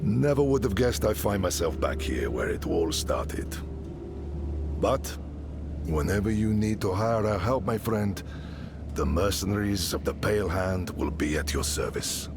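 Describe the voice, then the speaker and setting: An actor playing a weathered, warm-hearted mercenary smith and father in a fantasy game, speaking in a measured, familiar way with a gravelly voice. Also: low voice, expressive, music in the background. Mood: reflective. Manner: measured, familiar, addresses listener as friend. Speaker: mercenary leader character. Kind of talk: fantasy game dialogue acting.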